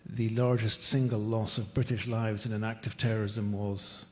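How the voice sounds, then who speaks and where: A man with a Northern Irish accent, speaking as a diplomat on a panel, measured and deliberate. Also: lowish voice, little pitch movement. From Northern Ireland. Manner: measured, deliberate, with occasional repeated words. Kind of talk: formal panel discussion. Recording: long hall reverb.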